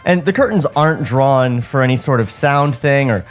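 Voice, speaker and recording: A man's voice: mid-range voice, lively intonation, normal speed. Male comedy podcast host, sardonic and conversational, for script read-alouds over light background music. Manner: mocking, sardonic. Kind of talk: casual comic banter and script table read. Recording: music playing behind the voice.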